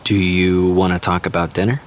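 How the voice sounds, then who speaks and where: A man's voice: deep voice, little pitch movement, moderate pace, a little hiss or hum, lightly acted. A man playing an easygoing, diplomatic actor in a naturalistic audio drama, casual and hedging as he talks. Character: easygoing, diplomatic actor mediating between friends.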